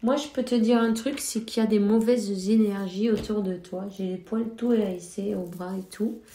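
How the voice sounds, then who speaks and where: Woman, head cold, mid-pitched, hesitant fillers, echoey room. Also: fairly even intonation, dark tone. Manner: slow, hesitant, many fillers. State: has a cold. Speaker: spiritual card reader. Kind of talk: casual spiritual advice talk.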